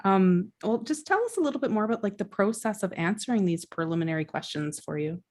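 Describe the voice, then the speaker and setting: A woman's voice: medium pitch, expressive, quick pace, darkish tone. A woman hosting a podcast, asking questions in an encouraging, loosely hesitant way. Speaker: podcast host. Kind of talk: casual interview conversation.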